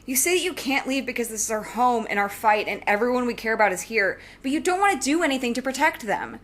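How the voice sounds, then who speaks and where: A woman's voice: on the high side, lively intonation, quick pace, fairly bright tone. A young woman playing a driven, defiant student supervillain and inventor in an audio drama, speaking with insistent earnestness. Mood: defensive, frustrated.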